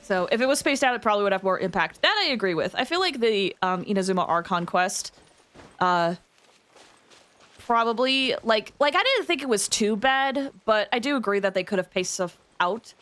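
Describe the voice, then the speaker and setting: A woman hosting a livestream, chatting casually with viewers in an expressive, emphatic way. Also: mid-range voice, normal speed. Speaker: livestream host.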